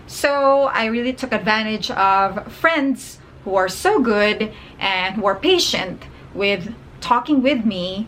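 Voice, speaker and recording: A woman's voice: fairly high pitch, fairly bright tone, a little hiss or hum. A young woman with a Filipino accent, a native Tagalog speaker, giving chatty, encouraging advice in a casual vlog. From Philippines. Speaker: vlogger and ESL teacher.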